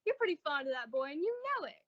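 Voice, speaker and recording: A woman's voice: high voice, big pitch swings, racing, background noise. A woman playing a good-humored middle-aged wife and mother in an old-time radio sitcom, soothing and lightly scolding. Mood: teasing reproach. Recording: dull, lo-fi audio.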